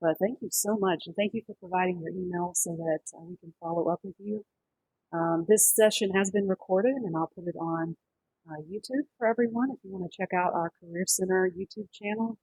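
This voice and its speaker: A woman's voice: on the low side, fairly even intonation, a little fast, darkish tone, husky, dry recording, low-quality recording. A woman moderating an online career session as host, politely and informally, over a video call.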